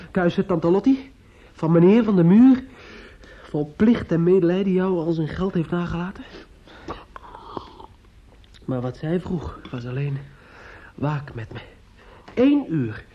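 A man acting a bitter, menacing nephew in a radio play, halting and repetitive, sneering and insistent. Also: high-pitched, lively intonation, warm tone. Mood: bitter mockery. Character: bitter, menacing, grief-stricken nephew taunting his aunt. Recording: muffled, dull old radio recording.

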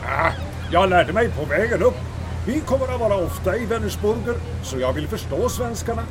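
An actor playing a pompous, lecherous German U-boat captain who flirts in German-accented Swedish in a comic audio drama. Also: male voice, high-pitched, expressive, noisy background. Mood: flirtatious, boastful.

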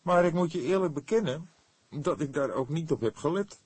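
A man's voice: fairly high pitch, lively intonation, moderate pace, darkish tone, breathy edge, no echo, pitched above the performer's own voice. A man voicing an eager young male police detective in an audiobook, hesitant at times, protesting and emphatic. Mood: hesitant, defensive.

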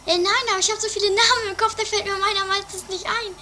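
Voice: very high voice, fairly even intonation, fast talker, a crowd in the background. A performer playing a scatterbrained, dramatic woman who stammers and bluffs in an improvised trash comedy radio play. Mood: flustered bluffing. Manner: stammering, flustered, loses her train of thought. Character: Doris, scatterbrained, shrill, bluffing dramatic sister. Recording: noisy amateur radio play recording.

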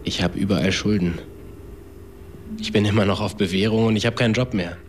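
An actor playing a rueful, down-on-his-luck drifter in a German radio drama, speaking halting, reflective standard German. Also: fairly low pitch, fairly even intonation, slight background noise, natural voice. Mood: weary, candid. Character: rueful, indebted man on probation, flirtatious drifter.

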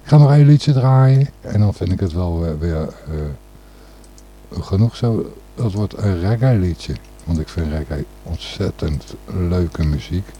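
Very deep, dark-voiced man, husky. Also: lively intonation, measured pace, a little hiss or hum. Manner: blunt, opinionated. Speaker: radio show host. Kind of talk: casual, rambling solo talk.

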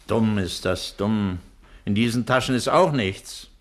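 An actor playing a smug, menacing doctor and criminal mastermind in a theatrical, commanding 1960s German radio play style. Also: male voice, fairly low pitch, a little slow, lightly acted. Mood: brisk, irritated. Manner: theatrical, self-satisfied, commanding. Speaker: villain in a radio crime play.

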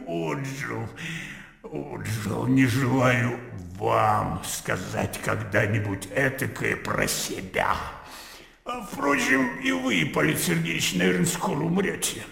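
Gravelly, blustering old colonel. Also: male voice, medium pitch, a bit bright, reverberant, long reverb tail, heavily acted. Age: older. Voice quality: gravelly, wheezing. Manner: blustering, blunt, chuckling between phrases. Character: sick, irritable, boisterous old retired Russian colonel. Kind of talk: radio drama acting.

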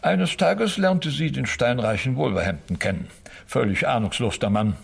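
An actor playing a gruff, worldly military gentleman in a radio play, gravelly and husky, speaking in blunt, clipped phrases. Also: medium pitch, lively intonation, normal speed. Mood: wry reminiscing.

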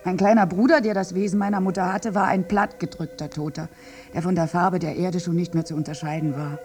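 Actress as a bitter, wounded war survivor. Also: female voice, fairly low pitch, steady tone, fairly quick, darkish tone, soft background music, close to an everyday voice. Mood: grim, sardonic. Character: wounded, bitter village woman among soldiers, survivor of a massacre. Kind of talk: theatrical radio drama monologue.

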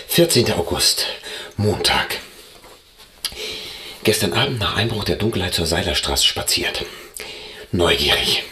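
A man's voice: fairly low pitch, bright, forceful tone, full character voice. An actor playing a timid, self-dramatizing male amateur sleuth, dictating a diary in clipped phrases in a German radio play.

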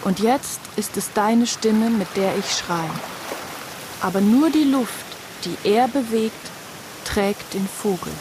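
A woman's voice: medium pitch, slow, background noise, close to an everyday voice. An actress playing a headstrong, outspoken woman construction worker in a radio drama. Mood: bitter, defiant.

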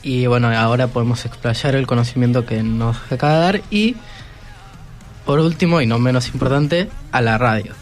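A teenage male student speaking informally and politely as a guest in a radio studio, somewhat halting. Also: mid-range voice. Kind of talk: informal prepared thanks and comments. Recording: music behind the voice.

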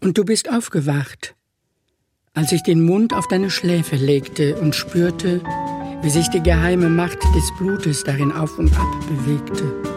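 A man giving a lyrical poetry recitation in a measured, solemn manner. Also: a little high. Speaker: poetry reader. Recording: music clearly behind the voice. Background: music.

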